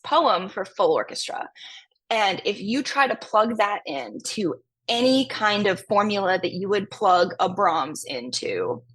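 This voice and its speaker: A woman with a distinctly husky, unpolished voice at a medium pitch. She talks like an academic guest on a podcast, laying out ideas in casual, conversational language. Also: a bit bright. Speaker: podcast guest, music professor.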